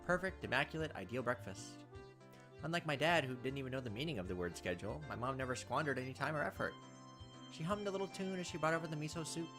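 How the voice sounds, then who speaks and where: A man's voice: medium pitch, fast. A man hosting a let's play, reading a visual novel's narration aloud with casual asides. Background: game music behind the voice.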